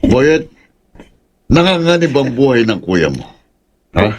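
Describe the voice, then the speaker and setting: An actor playing an old male village healer in a horror audio drama, speaking in a husky, solemn voice. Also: mid-range voice, very animated, slow pace. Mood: grave.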